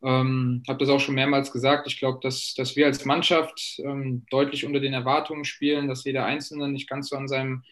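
A man's voice: medium pitch, level tone, a little fast, few pauses, a bit bright. A man in his late twenties, a professional footballer, answering fan questions thoughtfully over a video call with many fillers and restarts. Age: late 20s.

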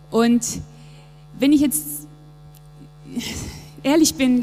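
A woman in her thirties from Japan talks earnestly and thoughtfully in a church interview. Her voice sits a little high but has a warm, mellow color. The sound carries a long reverb, with faint music underneath. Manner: reflective, earnest, with fillers. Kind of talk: casual conversation in a church service interview.

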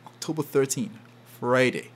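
A man from Saint Kitts, a podcast guest telling a story in lively conversation, often laughing and acting out what people said. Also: medium pitch, normal speed. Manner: animated, laughs often, acts out quotes.

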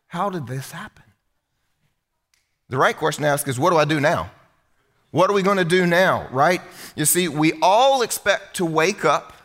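A middle-aged pastor preaches with a bright, somewhat high voice. He jokes with the congregation and keeps his delivery conversational in a church hall. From United States. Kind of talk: sermon.

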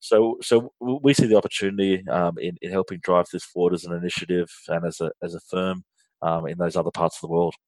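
A man's voice: deep voice, steady tone, fairly quick, a little mellow, dry recording. An Australian man, a guest in a business interview, explaining with frequent ums and restarts. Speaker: guest, finance outsourcing firm leader.

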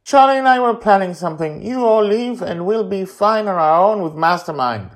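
A man voicing an excitable, secretive child in a story, eager and hurried as if making excuses. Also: high voice, voice pushed up. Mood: eager, evasive.

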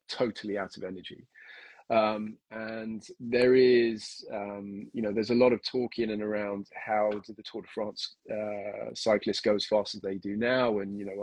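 A lower-pitched man from Kenya with a mixed British-Australian accent, explaining at length as a guest coach in a relaxed interview. His voice is a bit husky and very dry, with no room around it. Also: no echo, low-quality recording. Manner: explanatory, many ums and restarts. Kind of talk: casual interview.

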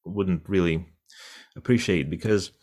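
Deep-voiced man, thoughtful. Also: measured pace, a little mellow. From Russia. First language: Russian. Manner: thoughtful, many fillers and restarts. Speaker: interpreter. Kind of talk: conversational interview answer.

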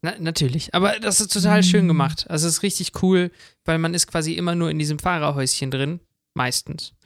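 A man with a fairly high, distinctly creaky voice chats casually as a podcast co-host. The sound is dry and close, and his phrasing is loose and colloquial. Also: fairly even intonation, fast talker, no room sound. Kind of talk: casual chat.

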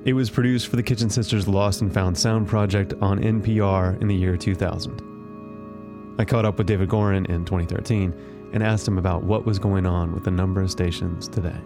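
An American man hosting a radio show in a scripted narration style. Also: lowish voice.

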